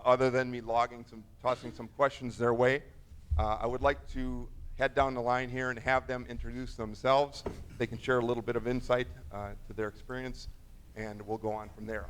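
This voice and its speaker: A man moderating a live college panel on stage, relaxed and loose, with many fillers. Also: mid-range voice, clear, resonant tone. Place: stage in front of an audience.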